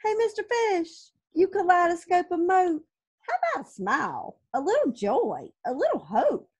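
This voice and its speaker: A woman's voice: very high voice, sing-song, moderate pace, pitched above the performer's own voice. A woman voicing a squirmy, slightly impolite female squid in a picture book. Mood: teasing.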